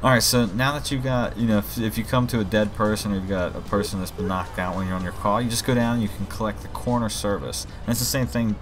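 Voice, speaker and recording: A man's voice: on the low side, fast talker, hardly any pauses. A man giving a casual, rambling gameplay walkthrough as he demonstrates his own game mod. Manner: informal, rambling explanations. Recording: game music and vehicle sirens behind voice. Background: game music, vehicles, police sirens.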